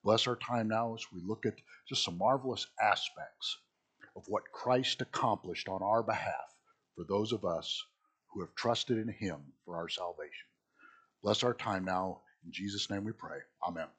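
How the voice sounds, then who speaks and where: A middle-aged male pastor teaching Sunday school with a hoarse, husky voice after being sick, talking casually and joking with asides. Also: fairly low pitch, normal speed, fairly bright tone. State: hoarse from illness. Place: small church.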